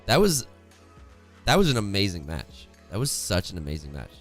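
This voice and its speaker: A young man with a worn-out, hoarse voice casting an esports match on a home stream, chatty and reading chat aloud, close to a studio mic. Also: low-pitched, wildly swooping pitch, normal speed, faint music behind. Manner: chatty, reads chat aloud, laughs often. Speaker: esports caster. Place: home streaming room, indoors. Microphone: studio condenser mic close in front, headphones.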